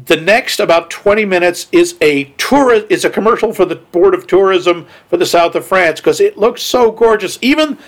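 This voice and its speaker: A man's voice: high voice, animated, moderate pace, few pauses. A man co-hosting a casual film review chat, easygoing and wry. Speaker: film podcast co-host.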